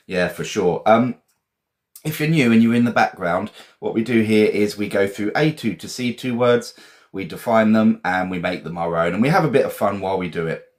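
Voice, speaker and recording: A man's voice: on the low side, moderate pace. A man from England with an English accent, teaching a casual live vocabulary lesson in a chatty, encouraging, informal way and often repeating phrases.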